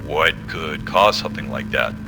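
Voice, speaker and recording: A man's voice: rumbling low, normal speed, creaky, noisy background. A man playing a blunt, commanding, hard-nosed military general in an audio drama. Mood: demanding, terse.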